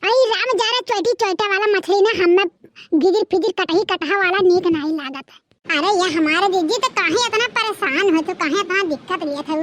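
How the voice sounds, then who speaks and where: A woman's voice: very high voice, normal speed, fairly bright tone, dry recording. A woman voicing a nosy, coaxing younger village sister-in-law with an Awadhi accent, chattering repetitively in a comedy cartoon. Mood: chatty, coaxing.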